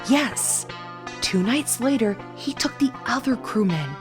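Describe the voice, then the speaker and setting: A woman playing a wary captive guest in an audio drama, speaking in a hushed, urgent, cautious way. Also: on the high side, lively intonation, unhurried. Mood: hushed warning. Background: prominent music.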